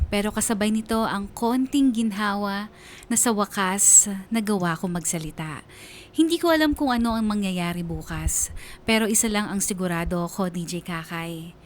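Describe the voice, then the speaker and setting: A woman narrating a radio drama in the first person, scripted, earnest and emotive. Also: mid-range voice. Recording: faint noise and ambience behind.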